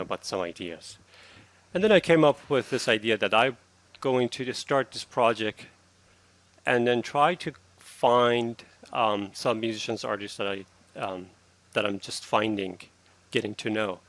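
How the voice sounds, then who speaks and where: A man in his thirties from Iran, a classical guitarist answering interview questions earnestly, with halting restarts. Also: on the low side, fairly bright tone, dry recording.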